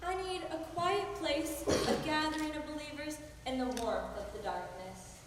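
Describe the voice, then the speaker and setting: A grand, theatrical phony medium, full of airs, played by an actress, with a high voice. She sounds far away in a large echoing hall with a clear hiss. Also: normal speed, lightly acted. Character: pompous fake spirit medium. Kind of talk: amateur stage acting. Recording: echoey hall from far off, noisy.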